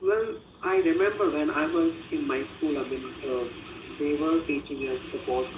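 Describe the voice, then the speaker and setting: A man's voice: a little high, normal speed, reverberant, distant mic, noisy background. A man whose first language is Hindi, answering practice speaking questions on a video call in loose, filler-heavy sentences. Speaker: IELTS speaking practice candidate. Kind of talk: online practice speaking session.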